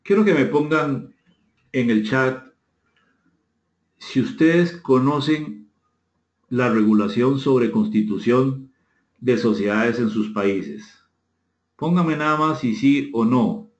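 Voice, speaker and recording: A man's voice: mid-range voice, takes it slowly, pauses often. A man with a Costa Rican accent leading an online seminar, courteously inviting participation and repeating phrases as he reads out and answers comments. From Costa Rica. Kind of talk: interactive webinar talk, reading out chat comments. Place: indoor room, online session. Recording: echoey room, muffled dull audio.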